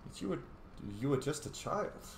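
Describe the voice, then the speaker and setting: A man's voice: fairly high pitch, normal speed, creaky. A man giving casual, reactive commentary while playing a role-playing game, slangy and sweary in a playful way. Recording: roomy echo, faint noise.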